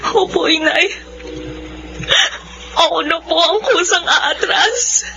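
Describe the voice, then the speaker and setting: A woman's voice: extremely high pitch, slow, vocal fry, noisy background, full character voice. A woman playing a wounded yet resolute young bride-to-be in a Filipino radio drama. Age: young adult. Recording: muffled audio under loud music bed.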